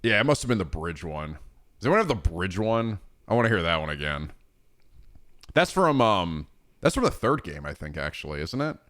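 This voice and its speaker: A man's voice: sits low, sing-song, normal speed, a bit bright. A man in his thirties chatting casually on a livestream, rambling and swearing freely with frequent laughs. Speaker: streamer.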